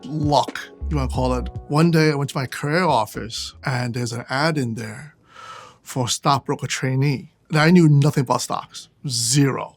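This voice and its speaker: A man's voice: mid-range voice, normal speed, faint music behind. A man, a fund manager talking candidly about his career in a plainspoken, emphatic way. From New York City. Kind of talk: conversational interview monologue.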